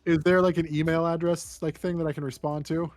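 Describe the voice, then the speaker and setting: A man with a somewhat high voice asks the game master hesitant questions during a tabletop game. Faint music plays behind him. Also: level tone, fast talker, darkish tone. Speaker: player. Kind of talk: casual chat in a tabletop game.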